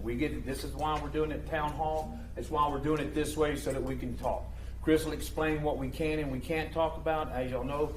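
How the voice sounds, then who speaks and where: A man moderating a town hall in an informal, reassuring way, often repeating himself. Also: on the high side. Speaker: meeting moderator. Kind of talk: public meeting remarks. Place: town hall meeting room. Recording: echoey room with faint noise.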